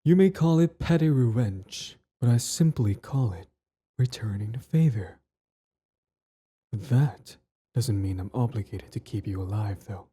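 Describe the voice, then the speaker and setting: A man playing a cold, menacing young villain prince in an ASMR roleplay, with a taunting, condescending drawl and soft threats that sink toward a whisper. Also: on the low side, lively intonation, a little slow. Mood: cold, threatening. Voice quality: husky, breathy, dropping into whispers.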